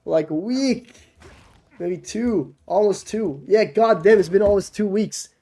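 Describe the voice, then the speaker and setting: Young guy streaming a game, got a cough, rambles w/ lots of ums, kinda mellow dark tone. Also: high-pitched, unhurried. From Norway. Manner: rambling, loose, with many fillers and restarts. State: sick with a cough. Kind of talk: casual chat with stream viewers while gaming.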